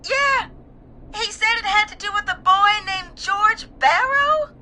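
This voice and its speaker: A shaken, tearful woman caller in a game drama. Her voice sits very high and sounds fragile. She speaks haltingly, full of grief. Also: animated, sharp tone, slight background noise, heavily acted. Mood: grieving, shaken.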